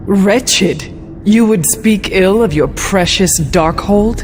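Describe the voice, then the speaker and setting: A woman's voice: mid-range voice, slow, noisy background, close to an everyday voice. A woman playing a resolute warrior heroine in a video game, speaking in terse, formal, earnest phrases. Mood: defiant. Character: resolute young female monster hunter, defiant warrior. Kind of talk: dramatic video game cutscene acting. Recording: music underneath.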